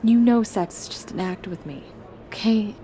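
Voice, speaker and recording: A woman's voice: mid-range voice, normal speed, dark tone, slight background noise, natural voice. An actress in her twenties playing a guarded, defensive young woman in a film drama, talking in a conversational, evasive way. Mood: confessional, weary. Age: 20s. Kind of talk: naturalistic film drama dialogue.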